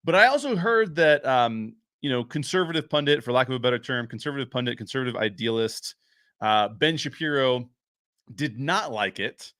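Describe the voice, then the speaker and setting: A man hosts a casual commentary show on his own. His voice is medium in pitch with a bright, forward edge, recorded very dry. He speaks emphatically and likes to repeat a phrase to stress it. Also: expressive, normal speed, dry recording. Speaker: show host and story writer.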